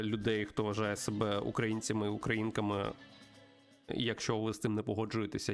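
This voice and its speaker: A man's voice: fairly low pitch, creaky. Tongue-in-cheek male game streamer over music. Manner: tongue-in-cheek, mock-earnest. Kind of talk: playthrough commentary with dramatic reading. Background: music behind the voice.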